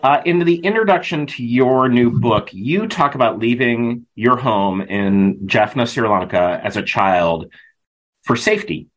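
A man hosting a podcast, reading an intro and then asking interview questions in a measured way. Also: medium pitch, lively intonation, rough recording. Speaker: podcast host.

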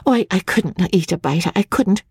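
A woman's voice: mid-range voice, expressive, normal speed, vocal fry, darkish tone, husky, no room sound, studio quality, voice pushed up, full character voice. A woman voicing a nervous young bride who stammers in a breathless, near-whispered voice. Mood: anxious, pleading.

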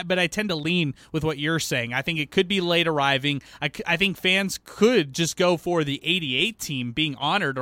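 A man co-hosting a sports talk radio show in a casual, slightly halting way, thinking aloud. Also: on the high side, sharp tone, no room sound.

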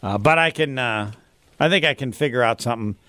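A man's voice: medium pitch, brisk, sharp tone, no room sound. A man co-hosting a sports radio show in casual chat, rambling and cutting himself off mid-thought. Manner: rambling, self-interrupting, chatty.

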